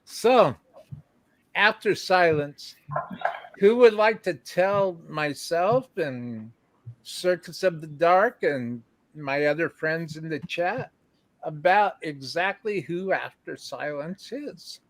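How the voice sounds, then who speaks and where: A man's voice: a little high, slow, no room sound. A man hosting a live-streamed show, chatting casually with a band, reading out chat messages and laughing often. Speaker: livestream show host.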